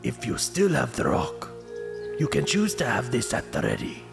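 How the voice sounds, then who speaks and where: A man's voice: medium pitch, wildly swooping pitch, normal speed, vocal fry. A man narrating a second-person horror gamebook in a dramatic, ominous way over music. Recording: music under the voice.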